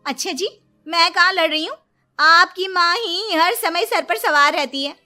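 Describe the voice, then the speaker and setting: A woman's voice: very high voice, a little slow, bright, forceful tone, soft background music, full character voice. A woman voicing a quarrelsome young cartoon wife who is indignant, whining and easily offended. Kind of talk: cartoon dialogue.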